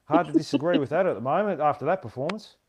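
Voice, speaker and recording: A man's voice: a little high, fast talker. An Australian man hosting a football podcast, introducing the episode and chatting with his co-host. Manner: upbeat host, structured intro. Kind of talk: casual podcast chat.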